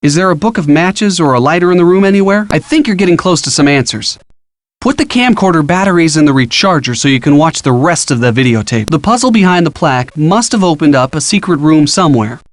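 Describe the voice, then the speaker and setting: A man plays an eager young male sidekick from a detective video game. His voice sits fairly high, and he gives earnest, encouraging hints, clearly scripted, in a dry, close recording. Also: expressive, brisk, no echo, natural voice. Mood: helpful, coaching. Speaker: hint-giving game character.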